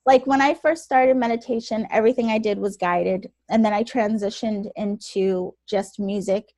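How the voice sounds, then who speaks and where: A woman co-hosting a podcast in casual, animated chat, with a naturally soft voice. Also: medium pitch, normal speed, no echo. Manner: chatty, animated, laughs often. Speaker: podcast co-host.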